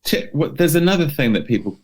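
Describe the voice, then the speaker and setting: A man's voice: on the high side, big pitch swings, quick pace. A man co-hosting a podcast in casual conversation, with an inquisitive way of talking. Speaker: podcast co-host. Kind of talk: casual chat. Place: remote podcast recording.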